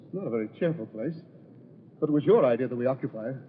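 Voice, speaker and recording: An actor playing a guilty, blustering swindler and nervous bridegroom in an old-time radio horror play, melodramatic and jittery. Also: male voice, medium pitch, animated, rapid-fire, natural voice. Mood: forced cheer. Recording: dull, noisy old radio broadcast recording.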